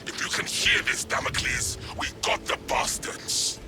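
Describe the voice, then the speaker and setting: Game casting: fierce battle-hardened soldier played by a man, medium pitch, forceful military reports under loud music. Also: animated, a little slow, natural voice. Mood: triumphant. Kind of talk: dramatic video game acting.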